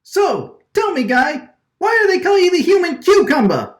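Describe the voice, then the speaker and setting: A woman plays a brash vacuum-faced wiseguy from a radio drama, pitched high and swinging wildly. He is agitated and indignant, stammering and hammering on his phrases. The recording sounds like an echoey room. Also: very animated, normal speed. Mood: puzzled. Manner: agitated, stammering, repeating lines emphatically. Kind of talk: radio drama line takes, gangster-movie wiseguy delivery.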